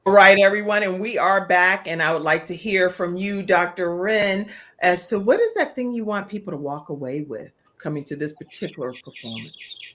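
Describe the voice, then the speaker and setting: A woman's voice: lowish voice, expressive, moderate pace, fairly bright tone. A woman talk show host interviewing a panel of guests, speaking emphatically as she responds to them.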